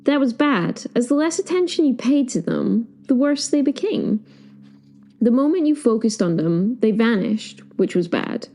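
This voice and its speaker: A woman's voice: on the high side, very animated, normal speed, mellow. A woman playing a flustered, dry-witted reluctant caretaker of Hell, narrating an audio drama in the first person in a wry, understated, digressive way. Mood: wry, gloomy.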